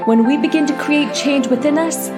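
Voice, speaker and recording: A woman's voice: sits high, moderate pace. Inspirational woman voice-over over music. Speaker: intro voice-over. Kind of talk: scripted motivational voice-over.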